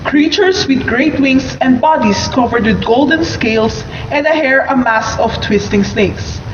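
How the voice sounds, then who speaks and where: A woman's voice: sits high, animated, unhurried. A woman narrator reading a scripted story for an amateur radio play. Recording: muffled, echoey room with background noise.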